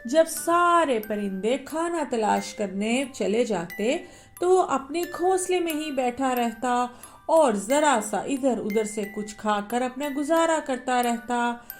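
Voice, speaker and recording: Slow, high-voiced woman storyteller. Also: lively intonation, faint music behind. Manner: slow, gentle. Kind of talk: scripted bedtime story narration.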